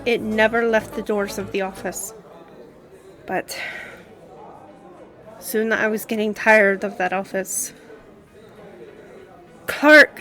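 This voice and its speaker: Girl playing a lady lawyer opening up over drinks, real slow w pauses, bit high pitched, audio drama. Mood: reflective, then frustrated, firm. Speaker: voice actor in audio roleplay. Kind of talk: scripted conversational audio roleplay.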